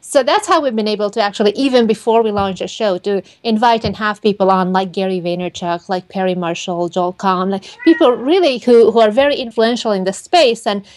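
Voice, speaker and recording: A woman's voice: medium pitch, a little fast, hardly any pauses, a little mellow. A woman, giving chatty, conversational answers as a guest in an interview. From Hungary and Romania. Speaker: interview guest, PR and marketing expert.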